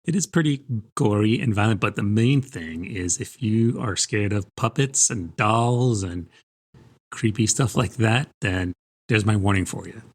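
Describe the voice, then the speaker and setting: A man's voice: fairly low pitch, a little slow. A man co-hosting a book podcast, giving a conversational, good-humoured review.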